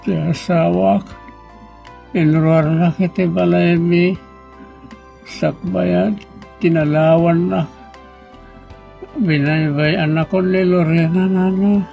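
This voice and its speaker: A man playing a sick, abandoned engineer in a wheelchair, speaking haltingly and with remorse in an Ilocano melodrama. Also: high-pitched, flat delivery, gravelly, dark tone, faint music behind, full character voice. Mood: forsaken. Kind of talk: Ilocano radio-style melodrama.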